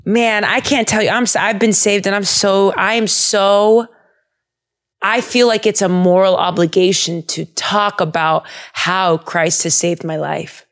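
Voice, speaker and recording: A young woman hosting a podcast, chatting in a bubbly, animated way and laughing often. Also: mid-range voice, measured pace. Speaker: podcast host. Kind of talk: casual chat about the Bible.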